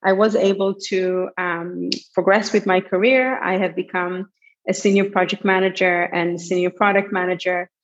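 A woman's voice: fairly low pitch, steady tone, normal speed. A woman with an Israeli accent, answering interview questions casually, with fillers and restarts. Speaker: guest entrepreneur. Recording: dull lo-fi audio.

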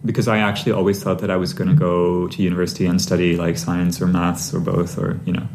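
Deep-voiced man from Sri Lanka, musing. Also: little pitch movement, fast, a little mellow, a little hiss or hum. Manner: reflective, many fillers and restarts. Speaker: conductor, interview guest. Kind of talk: conversational interview answers.

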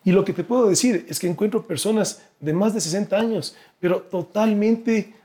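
An Ecuadorian man with a distinctly high but soft, mellow voice. He talks like a candidate in an interview, persuasive and earnest, stressing his points. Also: moderate pace. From Guayaquil, Ecuador. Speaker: political candidate being interviewed. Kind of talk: persuasive political interview talk.

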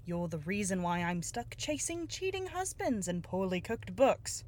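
An actress playing a determined, businesslike younger private detective in a noir audio drama, cool, persuasive and pointed. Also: female voice, mid-range voice, sing-song, measured pace, darkish tone, slight background noise. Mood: bitter.

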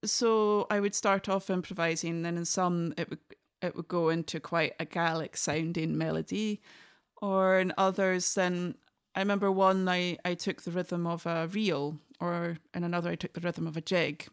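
A woman's voice: fairly low pitch, level tone, normal speed, clear, resonant tone. A Scottish woman in her forties, a guest musician talking thoughtfully in a reflective conversation, often restarting her sentences. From Scotland.

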